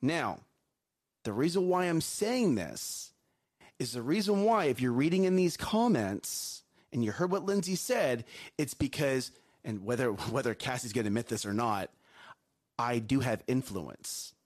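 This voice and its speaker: A man co-hosting a morning show, a coach with a loud voice, giving emphatic advice and often checking in with "okay?" and "right?". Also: medium pitch, animated, a little slow. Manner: emphatic, repeats himself, asks "okay?" and "right?". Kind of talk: casual chat, preachy life advice.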